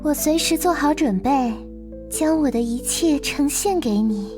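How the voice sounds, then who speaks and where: A woman playing a mysterious, devoted girl in a visual novel game, speaking in a soft, earnest, coaxing manner. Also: high-pitched, animated, measured pace, music in the background. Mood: solemn, devoted. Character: Lilith, mysterious devoted girl from beyond the world.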